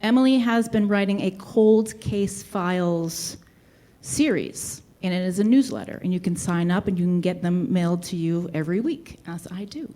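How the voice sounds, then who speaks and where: A woman's voice: lowish voice, moderate pace, mellow. A woman with a light Boston accent hosting a live podcast show in a brewery, talking in a chatty, easygoing way. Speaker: podcast host.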